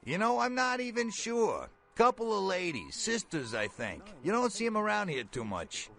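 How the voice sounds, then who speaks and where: A man playing a brash, folksy middle-aged bail bondsman in a video game, with a gravelly voice and blunt, slangy talk. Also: high voice, sing-song, moderate pace, a bit bright, full character voice. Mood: chatty. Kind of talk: video game character dialogue.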